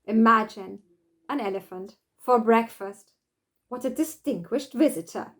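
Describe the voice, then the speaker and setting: A woman's voice: fairly high pitch, measured pace. A woman voicing an eager, playful child in a children's storybook. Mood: excited, playful.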